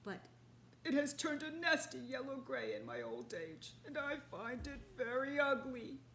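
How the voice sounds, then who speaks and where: A woman voicing an old, angry, weepy male rhinoceros, speaking loudly and drawing out her words. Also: high-pitched, big pitch swings, a little slow, a little hiss or hum, pitched above the performer's own voice, exaggerated voice. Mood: mournful. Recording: echoey room with faint ambience.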